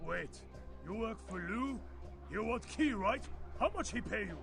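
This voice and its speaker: A man playing an excitable, scheming Chinese fortune teller and hitman in a video game, mocking and exclaiming in accented broken English. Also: sits high, unhurried, vocal fry, music in the background, heavily acted. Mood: indignant, scheming. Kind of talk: video game character acting.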